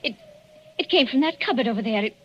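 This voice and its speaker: Casting: female actor for nervy, proud young English wife in 1940s radio play, fairly high voice, faintly hissy old recording. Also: expressive, quick pace, dull audio, natural voice. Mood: nervous.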